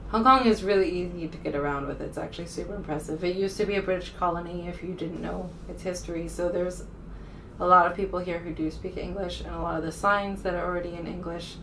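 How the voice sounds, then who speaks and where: A woman from the United States speaks in a casual, rambling vlog style, with a fairly low voice. She is informal and a little hesitant. The mic sits a bit away from her, with faint noise in the recording. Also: fairly even intonation, fast, a bit bright, far from the mic, low-quality recording. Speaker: vlogger.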